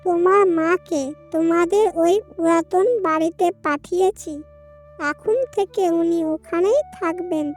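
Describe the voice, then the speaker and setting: A woman as a very high-pitched, scolding young housewife. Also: fairly even intonation, unhurried, vocal fry, full character voice. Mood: defiant, spiteful. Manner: harsh, bossy, threatening. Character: cruel, domineering young daughter-in-law, scolding housewife. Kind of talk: melodramatic cartoon voice acting. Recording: music under the voice.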